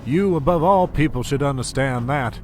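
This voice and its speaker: A man's voice: medium pitch, normal speed, over music, natural voice. A man playing a smug, scheming oil baron in an audio drama, silky, condescending and mock-courteous. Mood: silky blackmail.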